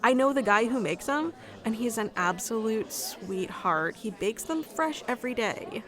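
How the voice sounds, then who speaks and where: A woman's voice: on the high side, expressive, unhurried, a crowd in the background. Woman acting as a shy, rambling nerdy young woman. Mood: shyly enthusiastic. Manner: hesitant, self-conscious, giggly rambling. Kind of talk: ASMR roleplay, conversational monologue to the listener.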